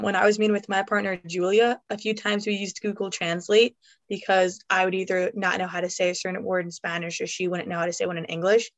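A woman's voice: medium pitch, dry recording. A young woman, an education student, telling stories in a chatty way with frequent fillers. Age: young adult. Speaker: education student, podcast co-host. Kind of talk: casual storytelling.

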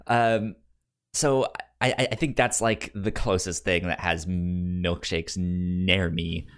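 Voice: deep voice, fairly even intonation, takes it slowly, smooth. A podcast co-host with a stutter, repeating sounds and words while telling an informal story in casual chat and acting out the dialogue.

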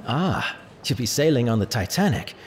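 A man's voice: mid-range voice, sing-song, normal speed, a little hiss or hum, natural voice. An actor playing a courteous, attentive man who assists travellers in an audio drama, polite and obliging.

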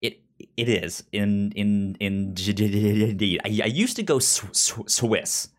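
A man's voice: fairly low pitch, unhurried. A man co-hosting a casual chat show, with a stutter that repeats syllables and sounds as he talks. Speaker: podcast co-host.

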